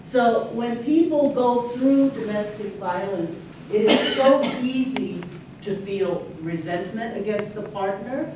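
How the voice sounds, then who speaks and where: A woman's voice: mid-range voice, a little mellow. Earnest woman lecturing in an echoey room. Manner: earnest, emphatic, restarts mid-sentence. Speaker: clinical therapist speaker. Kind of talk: breakout session talk. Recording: echoey room, far from mic, faint hiss.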